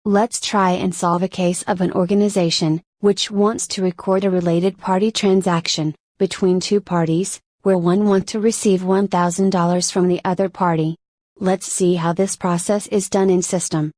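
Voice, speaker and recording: A woman reading scripted instructional narration for a software tutorial in a measured, step-by-step manner. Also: fairly low pitch, level tone, no room sound.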